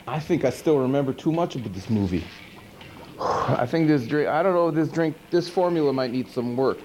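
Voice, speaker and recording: A man's voice: medium pitch, dark tone, natural voice. A man playing a goofy, chatty, sardonic monster who hosts a horror movie show, recapping films in casual, rambling slang on a noisy 1980s VHS recording. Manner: casual, rambling, mock-indignant slangy delivery. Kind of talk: comic movie recap monologue.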